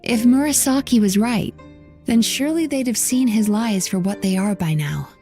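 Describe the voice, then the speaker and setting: A woman voicing a young, calm and composed bathhouse owner over faint game music. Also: a little high, normal speed, natural voice. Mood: reasoning.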